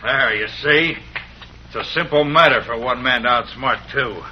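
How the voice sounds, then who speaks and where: An actor playing a ruthless, gloating western villain who barks menacing orders in an old radio drama. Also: male voice, medium pitch, moderate pace, dull audio, a little hiss or hum, lightly acted. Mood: commanding, threatening. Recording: sharp, grainy old radio recording.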